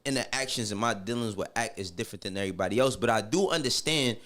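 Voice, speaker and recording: A man's voice: lowish voice, quick pace, a bit bright. A middle-aged man with a New York accent, speaking candidly and casually as an interview guest in slangy, emphatic phrases that often check the listener follows. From Harlem, New York, United States. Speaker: podcast guest.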